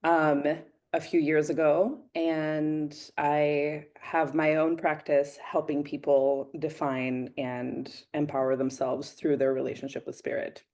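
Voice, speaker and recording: A woman from Greece, a guest astrologer who introduces herself in a measured, concise way. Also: sits low, flat delivery, darkish tone. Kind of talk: interview self-introduction.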